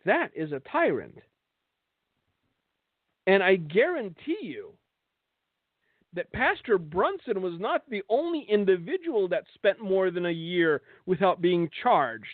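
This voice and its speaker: Male religious broadcast host, slightly high voice, sardonic monologue with pointed rhetorical questions, thin phone-like sound. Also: very animated, measured pace, long pauses. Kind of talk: political and religious monologue commentary. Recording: thin phone-like band, echoey room.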